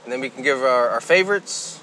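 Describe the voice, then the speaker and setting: A man's voice: mid-range voice, animated, brisk, pushed and bright, slight background noise, rough recording. A man co-hosting a podcast in casual, loose chat with some mild swearing. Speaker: podcast co-host.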